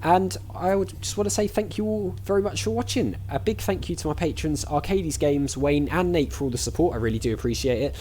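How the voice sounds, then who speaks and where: A man's voice: a little high, a little fast, few pauses, darkish tone, slight background noise. A man giving casual let's-play commentary as a channel host, chatting and thinking aloud in questions as he plays. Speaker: video game player and channel host.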